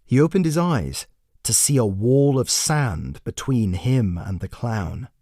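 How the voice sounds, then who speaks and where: A man narrating a dramatic scripted fantasy story with measured, deliberate phrasing. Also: medium pitch, animated, dark tone, no room sound, clean studio sound. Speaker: author and narrator.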